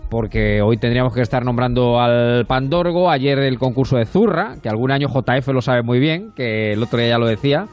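A man with a La Mancha accent chats on the radio in a loose, rambling way, repeating little words as he hunts for the next thought. His voice sits at a middle pitch. Faint music plays underneath. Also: a little fast, few pauses. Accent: Castilian Spanish, La Mancha. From Ciudad Real, Spain. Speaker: radio show guest. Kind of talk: casual radio chat.

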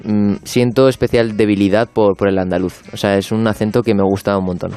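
Basque guy on radio, mild accent, deeper voice, chatting with music low underneath. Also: steady tone, fairly quick, mellow. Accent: light Basque. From Basque Country, Spain. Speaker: radio contributor. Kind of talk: casual radio chat. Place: radio studio.

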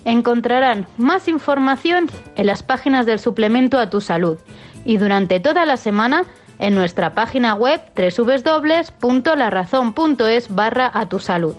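Higher-voiced woman reading a summary. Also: normal speed, muffled recording, faint music behind. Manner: even, read summary of contents. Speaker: show narrator. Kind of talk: scripted narration.

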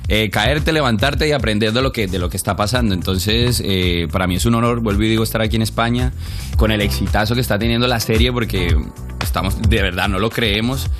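A man's voice: fairly low pitch, brisk, faint music behind. A young man with a Colombian accent, an actor guest speaking earnestly in a casual interview, often restarting his sentences.

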